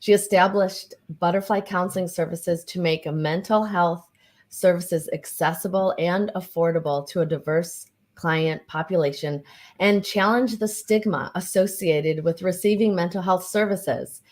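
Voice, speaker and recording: A woman's voice: lowish voice, unhurried. A woman hosting an event, enthusiastically introducing the next speaker and reading out her bio. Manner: warmly enthusiastic, reads the introduction aloud.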